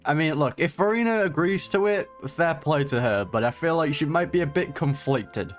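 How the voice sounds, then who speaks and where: A man's voice: fairly high pitch, expressive, normal speed, soft background music. Chatty, slangy male gaming streamer. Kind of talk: casual off-the-cuff game reaction commentary.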